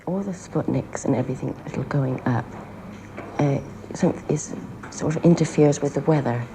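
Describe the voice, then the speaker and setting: A teenage British boy answering an interview question in a halting, hesitant way. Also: fairly high pitch. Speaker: young interviewee. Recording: noisy 1960s archival interview.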